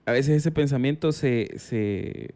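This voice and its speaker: A male podcast host with a mid-range voice. He leads the conversation with curious questions, often backing them with a quick "ujú" or "claro". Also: medium pitch, mellow. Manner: curious, prompting with short acknowledgments. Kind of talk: casual interview.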